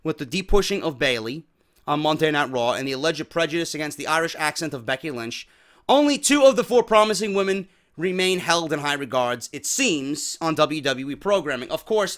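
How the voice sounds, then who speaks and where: A man hosting a wrestling news show, giving blunt, profane opinions and getting loud when worked up. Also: fairly high pitch. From New York.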